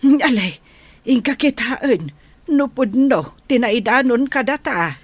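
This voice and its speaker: A woman's voice: a little high, animated, slow pace, no echo. A woman playing an older, skeptical grandmother who admonishes and advises in an amateur audio drama. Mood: skeptical, advising.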